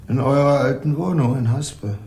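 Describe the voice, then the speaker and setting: Actor as a clipped, reserved middle-aged uncle, roomy radio-play sound. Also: male voice, mid-range voice, normal speed, darkish tone, lightly acted. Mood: curt. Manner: curt, clipped sentences dropping pronouns. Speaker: uncle character. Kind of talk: 1980s radio drama acting.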